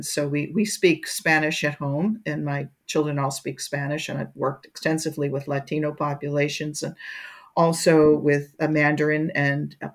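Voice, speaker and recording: An older woman being interviewed, speaking reflectively about her work with many fillers and restarts. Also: deep voice. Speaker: guest, education researcher. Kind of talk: conversational interview.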